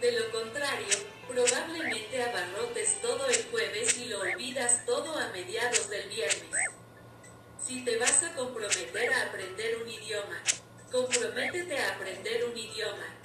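A higher-pitched woman talks through a wry, opinionated commentary. She sounds set back from the mic in a roomy space. Loud music plays behind her voice the whole time. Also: measured pace, far from the mic. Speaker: language learning vlogger. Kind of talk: scripted voice-over commentary.

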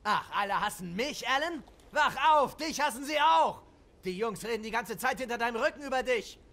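A man playing a high-strung, quarrelsome young soldier in a dubbed war game, agitated and shouting. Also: expressive, a little fast, full character voice. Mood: furious, defiant.

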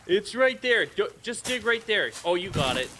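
A man's voice: sits high, big pitch swings, normal speed. A man joking around casually with a co-player while playing a video game. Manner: goofy, joking. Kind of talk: casual gameplay banter with a co-player. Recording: clear game noise behind voice. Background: video game sound effects.

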